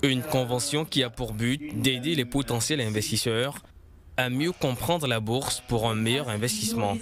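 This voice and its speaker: A man with an Ivorian French accent, reading a scripted TV news report voice-over in a measured way. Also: mid-range voice, a crowd in the background.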